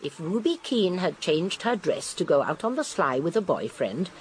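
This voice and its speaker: An actress playing a shrewd, genteel elderly English spinster sleuth in a radio drama, precise and politely firm. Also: female voice, lowish voice, very animated, normal speed, slight background noise, low-quality recording. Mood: precise, insistent. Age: older. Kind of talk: radio drama acting and narration.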